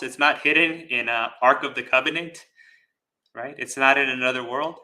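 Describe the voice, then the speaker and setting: Man, Buddhist monk teaching, very sharp bright tone, mid pitch, deliberate phrasing, emphatic repetition, rhetorical check-ins. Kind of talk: dharma talk with live chat questions.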